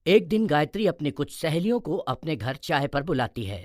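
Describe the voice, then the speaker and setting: A man narrating an animated moral story in a scripted storytelling style. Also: fairly high pitch, moderate pace, no room sound, clean studio sound.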